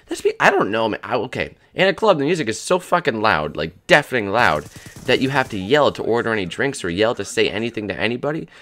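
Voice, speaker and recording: A hoarse young man in his twenties rambling crudely and jokily as the host of a gaming channel. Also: fairly low pitch, big pitch swings, quick pace, a bit bright. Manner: rambling, crude, jokey, lots of "dude" and "man". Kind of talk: casual rambling commentary over gameplay.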